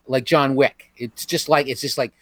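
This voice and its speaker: A man's voice: medium pitch, fairly even intonation, moderate pace, a bit bright, no echo. A man co-hosting a comedy podcast, talking in a rambling way with many stammered restarts. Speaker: podcast co-host and episode writer. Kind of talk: casual comedy podcast chat.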